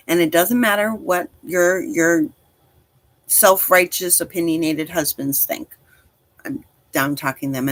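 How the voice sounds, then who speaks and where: A woman's voice: on the low side, no echo. A woman in her forties chatting casually on a livestream as host, speaking directly to listeners in an encouraging, reassuring way. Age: 40s.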